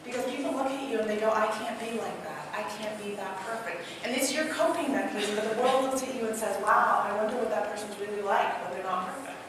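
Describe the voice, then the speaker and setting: A woman with a Trinidadian accent, a motivational speaker giving an energetic live talk to an audience in a large hall, asking them questions and telling personal stories. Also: mid-range voice, quick pace, hardly any pauses, background noise. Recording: echoey hall, mic heard from a distance.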